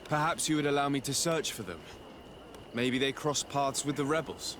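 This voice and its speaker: An actor playing an earnest, courteous diplomat in a fantasy video game, polite and assured. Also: male voice, mid-range voice, moderate pace, fairly bright tone, a little hiss or hum, natural voice. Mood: calm. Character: young male diplomat legate, earnest and courteous.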